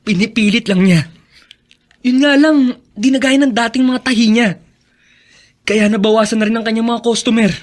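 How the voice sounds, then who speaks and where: An actor playing a devoted, determined young son in a Filipino radio drama, speaking earnestly and respectfully. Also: male voice, very high voice, normal speed, pauses often. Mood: troubled, sincere. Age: young adult.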